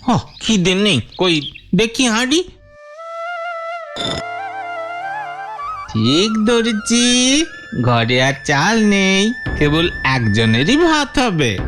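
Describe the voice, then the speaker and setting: Slow, plaintive village boy. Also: medium pitch, very animated. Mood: worried, reproachful. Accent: rural Bengali. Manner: plaintive, questioning, insistent. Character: poor village boy, anxious, devoted, plaintive son. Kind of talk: melodramatic cartoon voice acting. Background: music.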